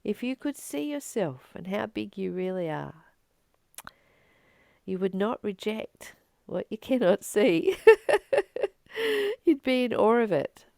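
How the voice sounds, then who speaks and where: A woman reading from a spiritual book and commenting on it informally, in an earnest, emphatic manner with deliberate pauses. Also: medium pitch, very animated, creaky, a little mellow, dry recording, studio quality.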